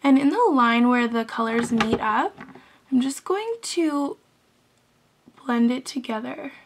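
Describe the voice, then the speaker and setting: A young woman with a high, gravelly voice that is hoarse from illness explains a makeup look step by step. She talks in a chatty, instructive way and sprinkles in "um"s. She sounds close to a camera mic in a small bedroom. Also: lively intonation, slow. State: hoarse from illness, losing her voice. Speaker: makeup tutorial host. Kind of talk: step-by-step beauty tutorial.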